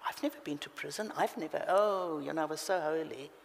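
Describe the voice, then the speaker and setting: A woman's voice: low-pitched, fairly quick, gravelly, slight background noise. A woman with a South African accent preaching a passionate, emphatic sermon. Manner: passionate, emphatic, with sudden loud lifts. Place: church hall. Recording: reverberant hall.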